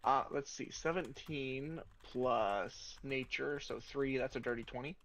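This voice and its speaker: A man playing a tabletop roleplaying game, speaking casually in a measured, halting way. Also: mid-range voice, steady tone, fairly bright tone. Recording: dull, lo-fi microphone.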